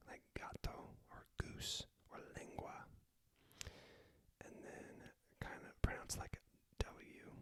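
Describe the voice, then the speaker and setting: A man speaks entirely in a whisper, in the manner of an ASMR video. He hosts an informal, self-deprecating language lesson as a native English speaker. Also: bright, forceful tone. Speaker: video host teaching Spanish basics.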